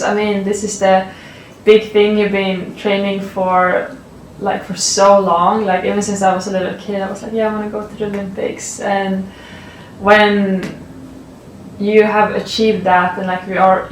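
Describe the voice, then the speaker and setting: A woman's voice: medium pitch, recorded from a distance, a little hiss or hum. Young Swedish-accented woman athlete, casual interview, mic set back in a roomy space with faint ambience. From Sweden. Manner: relaxed, many fillers and "like". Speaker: college athlete.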